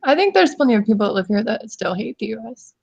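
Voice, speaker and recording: Casual woman, breathy, kinda high, throws out random questions, dead dry room. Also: sing-song, fast talker, darkish tone, no room sound. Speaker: chat participant. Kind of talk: casual group chat. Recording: dry, dull lo-fi recording.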